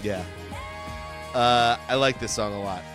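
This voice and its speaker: A man co-hosts a music podcast in an informal, enthusiastic way. His voice sits at a middling pitch. Songs play clearly behind him as he chats. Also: unhurried. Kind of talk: casual chat. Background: pop-rock songs playing.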